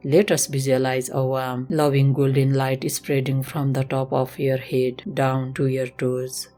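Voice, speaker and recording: A woman leads a guided meditation in a very deep voice with an even, nearly flat melody. She gives gentle, measured instructions as a yoga teacher would, with faint music behind her.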